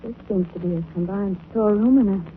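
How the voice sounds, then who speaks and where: A woman's voice: mid-range voice, fast, phone-quality sound, lightly acted. An actress playing a plucky, inquisitive lady companion to a detective in a 1940s radio drama, theatrical and earnest, on a scratchy old recording. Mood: curious.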